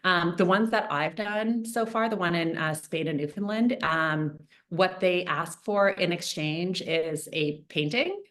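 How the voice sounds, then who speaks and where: A young woman from Canada speaks as an interview guest, her voice sitting low. She talks in a loose, chatty way, full of fillers and restarts. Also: measured pace, hardly any pauses, a bit bright. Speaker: guest artist. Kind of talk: casual interview.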